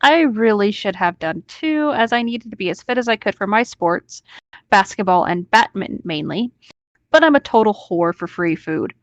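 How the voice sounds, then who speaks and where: A woman's voice: medium pitch, lively intonation, normal speed. Female co-host for casual read-aloud storytelling; leisurely, informal, cheeky, a little muffled with little echo. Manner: informal, joking, swears freely. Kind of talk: casual online story reading with banter.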